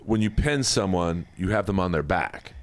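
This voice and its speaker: A man telling comedic anecdotes in casual podcast banter, rambling and halting as he goes. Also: low-pitched, animated. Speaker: podcast guest telling old wrestling stories.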